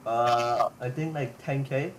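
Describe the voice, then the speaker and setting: A young man in casual online game chat, speaking in halting, repetitive phrases. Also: medium pitch, slight background noise, poor audio. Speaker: gamer.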